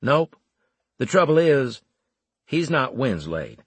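A man as a brash, husky soldier. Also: medium pitch, big pitch swings, slow pace, dry recording, voice pushed up, heavily acted. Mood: confident. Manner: blunt, emphatic. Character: McGill, brash, cocky soldier who contradicts his superiors. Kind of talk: audiobook character acting.

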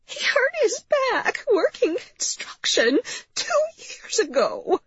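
A woman's voice: very high-pitched, very animated, no echo, dull audio, voice pushed up, full character voice. A woman voicing an older mother in an audiobook, frantic and protective, wailing and crying out in protest. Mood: tearful pleading. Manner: shouting, pleading, drawn-out cries.

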